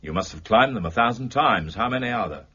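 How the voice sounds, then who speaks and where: An actor playing an urbane, self-assured gentleman detective with dry wit and teasing politeness in an audio drama. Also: lowish voice, animated, husky, lo-fi sound, full character voice. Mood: dry, provocative. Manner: unhurried, teasing, needling politeness.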